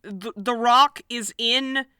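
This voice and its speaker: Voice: mid-range voice, takes it slowly, pushed and bright, clear, resonant tone, no room sound. A podcast co-host in casual comedic banter, playful and given to mock-serious exaggeration.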